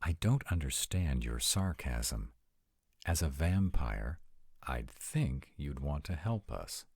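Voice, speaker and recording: An extremely low-voiced, urbane vampire acted by a man for a fantasy audio roleplay. He speaks formally and unhurriedly, with wide swoops of intonation and a gravelly rasp. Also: sing-song, a little mellow, no room sound, studio quality, heavily acted. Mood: stern, formal. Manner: formal, measured, articulate. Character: arrogant, urbane male vampires, courteous and formal.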